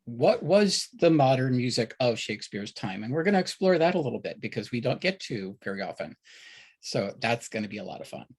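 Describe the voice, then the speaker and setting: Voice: medium pitch, big pitch swings, brisk, darkish tone, husky. Informal, conversational host voice. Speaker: host of an online event series. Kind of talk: casual hosting and announcements.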